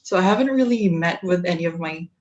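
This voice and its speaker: A woman's voice: on the low side, expressive, fast talker, darkish tone. A teenage girl giving a thoughtful answer with a few fillers. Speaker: student panelist. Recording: dull lo-fi mic with clicks.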